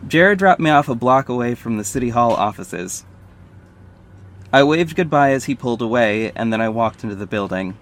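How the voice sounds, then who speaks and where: A man's voice: medium pitch, expressive, moderate pace, natural voice. A man playing a confident, flirty detective and secret vigilante, narrating an audio drama in the first person, conversational and confiding. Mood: wry, relaxed. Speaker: narrator and lead character. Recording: faint background noise.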